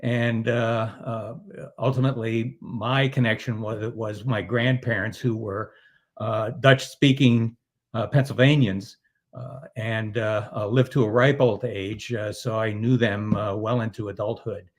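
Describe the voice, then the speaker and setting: An older man answering interview questions as a guest, halting and full of filler sounds. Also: lowish voice, fairly even intonation.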